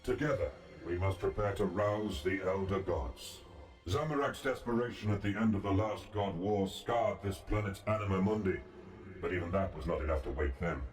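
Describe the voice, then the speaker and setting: An actor playing a calm, solemn ancient god in a fantasy game, speaking in a formal, measured and imperious way. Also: male voice, sits low, natural voice. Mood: grave. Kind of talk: scripted fantasy game dialogue. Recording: roomy, distant, music behind.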